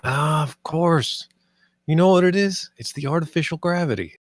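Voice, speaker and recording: An actor at a script table read playing a gruff, pedantic and resourceful space derelict assessor. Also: male voice, on the high side, moderate pace, no room sound, studio quality, lightly acted. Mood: dry, muttering.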